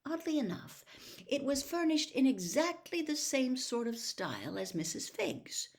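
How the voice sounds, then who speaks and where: A woman reading a novel aloud as an expressive storyteller, in audiobook-style narration. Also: high voice, unhurried. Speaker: storybook reader.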